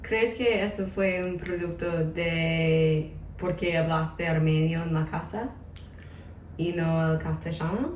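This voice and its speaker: A woman conducting an oral history interview, asking brief, gentle questions. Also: low-pitched, takes it slowly. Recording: echoey, distant room mic with faint noise.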